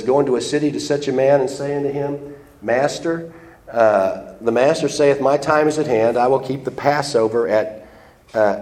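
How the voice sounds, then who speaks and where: A man's voice: mid-range voice, darkish tone. Stuffed-up guy preaching and reading king james verses, echoey room. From United States. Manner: reads scripture aloud in King James wording. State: congested from flu. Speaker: Bible teacher. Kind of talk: sermon teaching.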